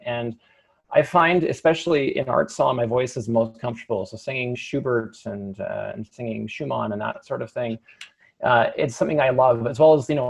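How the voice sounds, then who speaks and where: Gravelly, husky-voiced young male tenor. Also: mid-range voice. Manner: thoughtful, halting with restarts and fillers. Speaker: opera singer, light lyric tenor. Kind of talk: interview conversation.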